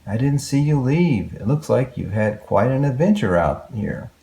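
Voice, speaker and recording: Kindly easygoing storybook guardian, read by a man, mellow, animated, in an echoey room. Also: medium pitch, normal speed, gravelly. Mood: warmly concerned.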